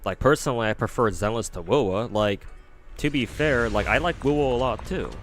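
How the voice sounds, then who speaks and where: A man's voice: medium pitch, brisk. A man streaming a game, chatting casually in a rambling, profane way full of "like" fillers. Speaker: gaming streamer. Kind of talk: casual livestream chat while playing. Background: game music.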